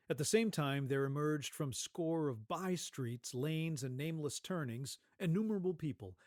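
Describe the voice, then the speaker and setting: A man narrating a scripted story as a theatrical, dramatic and expressive storyteller. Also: fairly high pitch, no room sound, studio quality.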